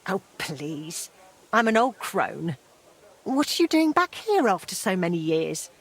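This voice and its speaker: A mature, forgiving townswoman in a game drama. Her voice is husky, with lively rises and falls. She is brisk and no-nonsense, yet kindly. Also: medium pitch, full character voice. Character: forgiving, brisk older townswoman, self-described old crone.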